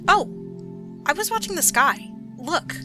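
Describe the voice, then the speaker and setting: A woman's voice: extremely high pitch, expressive, measured pace. A woman voicing a dreamy, chatty young apprentice cat in an amateur audio story. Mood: curious, excited. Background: background music.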